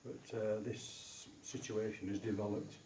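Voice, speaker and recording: A middle-aged man, an audience volunteer, talking in a plain, halting, wry way. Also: lowish voice, fairly even intonation, mellow, distant mic, slight background noise. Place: hall with a live audience. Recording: echoey hall recorded from afar.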